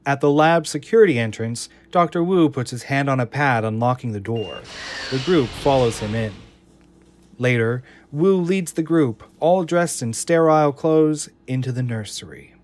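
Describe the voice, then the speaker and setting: A man's voice: medium pitch, lively intonation, normal speed, a little hiss or hum. A man reading scripted screenplay narration for an audio drama. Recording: music under the voice.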